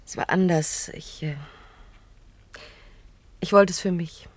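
An actress playing a grief-stricken, self-blaming widow in a German radio play, with a brooding, hesitant, confessional manner. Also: female voice, fairly low pitch, fairly even intonation, takes it slowly, no room sound. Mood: anguished, confessing.

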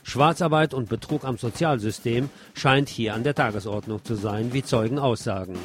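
A man's voice: medium pitch, moderate pace, loud music behind the voice. A man presenting scripted news in German, reading emphatically with the occasional wry aside.

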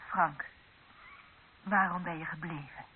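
A woman's voice: on the low side, fairly even intonation, slow. An actress playing a firm, dismissive young woman in a radio drama. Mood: intimate, questioning. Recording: dull narrow-band old radio recording with hiss.

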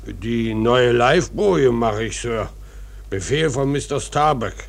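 A man's voice: medium pitch, lively intonation, takes it slowly, creaky. A man playing a plain-spoken ship's carpenter in a German radio drama, muttering and deferential but unbothered. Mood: stolid, muttering.

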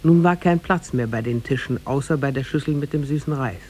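A man narrating a tale in a scripted, measured and formal 1950s radio drama style. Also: mid-range voice, darkish tone. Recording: noisy 1950s radio recording.

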